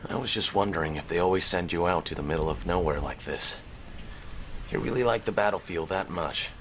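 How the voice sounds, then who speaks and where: An easygoing soldier in a radio drama who likes to grumble. His voice sits low, with a loose, chatty delivery over faint background noise. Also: brisk, fairly bright tone. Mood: relaxed, chatty. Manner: chatty, complaining.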